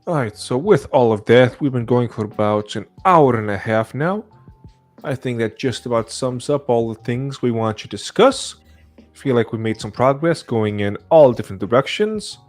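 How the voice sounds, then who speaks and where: A man's voice: mid-range voice, expressive, moderate pace, soft background music. A man hosting a podcast in casual, conversational chat. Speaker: podcast host.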